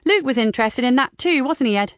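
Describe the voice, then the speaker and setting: A woman voicing a polite, probing female police doctor who speaks brightly and briskly. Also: sits high, animated, dry recording, voice pushed up. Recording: slightly dull dry recording.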